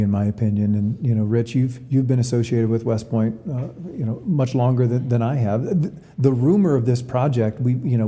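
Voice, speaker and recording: A man's voice: mid-range voice, dark tone. A man giving conversational interview answers as an athletic director, often repeating words as he explains. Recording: long reverberant room sound.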